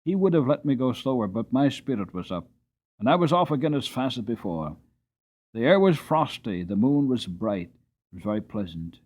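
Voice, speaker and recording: A man giving an expressive, dramatic reading of a classic storybook as narrator. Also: mid-range voice, normal speed. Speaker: audiobook reader.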